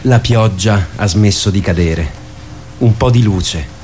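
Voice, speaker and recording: A man narrating a radio drama as a sober storyteller, describing the action in measured, short phrases. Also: on the low side, a little mellow, faint music behind.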